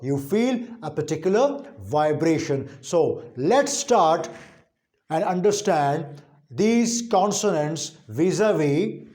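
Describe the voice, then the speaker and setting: A man with a high-pitched voice delivers an instructional linguistics lesson. His pace is slow and his intonation swings widely. He explains deliberately and emphatically, restating key points for his students. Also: expressive, a little mellow. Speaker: linguistics lecturer.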